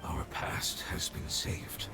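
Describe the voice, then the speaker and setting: An actor playing a dying ancient male creature who speaks in a hushed, gravelly, solemn way in a game cutscene. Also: close to an everyday voice. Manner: solemn, measured farewell. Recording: echoey voice over game music.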